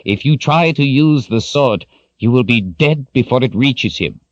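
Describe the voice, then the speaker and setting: A man's voice: medium pitch, expressive, measured pace, dry recording, highs cut off, voice pushed up, natural voice. A man voicing a stern, grim old wizard mentor who speaks with urgent emphasis. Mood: urgent warning.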